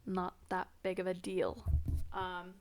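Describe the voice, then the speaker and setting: A woman's voice: on the low side, monotone, slow. A young woman hosting her first podcast from a laptop, rambling casually in a scattered, self-deprecating way, her nose stuffed and congested. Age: young adult. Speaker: podcast host. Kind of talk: rambling casual vlog chat. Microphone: laptop built-in mic.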